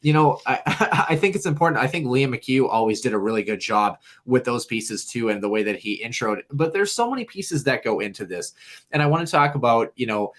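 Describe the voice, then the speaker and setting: A man with a whiny, nasal voice holds forth in relaxed sports banter. He talks in long, winding runs and keeps starting over. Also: fairly quick. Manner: rambling, animated, jumps in pitch. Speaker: podcast co-host. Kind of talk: casual sports chat.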